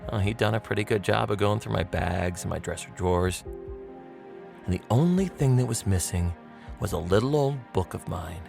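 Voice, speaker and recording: A low-voiced, gravelly dead ex-convict narrating a noir radio play. He is wry and slangy, buttonholing the listener like an old pal. Music plays underneath. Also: expressive, moderate pace. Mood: wry, griping. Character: sardonic dead ex-convict telling his own murder story. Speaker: actor playing the narrator of a radio mystery.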